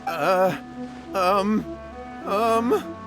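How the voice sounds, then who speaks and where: A nervous, high-pitched young coward. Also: male voice, very slow, music in the background, full character voice. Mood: flustered. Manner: stammering, flustered, pleading. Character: nervous, cowardly young man hiding a secret. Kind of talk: scripted anime game voice acting.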